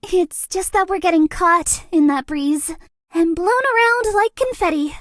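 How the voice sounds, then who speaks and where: A woman's voice: very high voice, unhurried, a bit bright, no room sound, studio quality. A woman voicing a shy, sweet, lovestruck young ghost girl for a dating game, with a cutesy, bashful manner. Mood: playful, coy. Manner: cutesy, bashful, gushing, with hesitant breathy asides. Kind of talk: dating game character voice lines.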